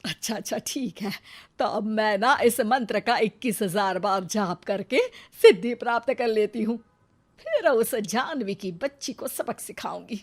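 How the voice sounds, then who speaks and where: A woman's voice: mid-range voice, lively intonation, a little slow. A woman voicing an aggrieved, jealous older mother-in-law with a theatrical, complaining manner in a Hindi moral story cartoon. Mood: scheming.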